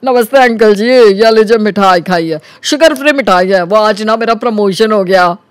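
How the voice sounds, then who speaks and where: A male actor plays a cocky young smooth-talker from an animated story. His voice sits high, and he is glib and boastful, buttering up his elders. The sound is dry and close. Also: moderate pace, dry recording, natural voice. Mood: flattering. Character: cocky, flattering young man sucking up to elders. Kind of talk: animated Hindi story character acting.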